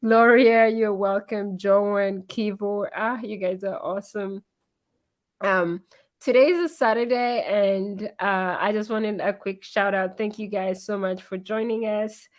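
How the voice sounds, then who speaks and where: A woman with a hoarse voice from being sick, hosting a casual live-streamed interview over a video call. She giggles often and chats loosely with many fillers. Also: medium pitch, steady tone, measured pace. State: hoarse from illness. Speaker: interview host. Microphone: computer mic on a video call.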